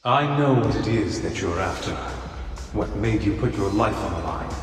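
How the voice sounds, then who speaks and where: A man playing a commanding, calculating tempter in a video game, speaking in a grave, pompous, deliberate way. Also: on the low side, lively intonation, natural voice. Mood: grave persuasion. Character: commanding, calculating older male tempter offering a bargain. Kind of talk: video game villain monologue. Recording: cavernous long reverb, distant, music. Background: music.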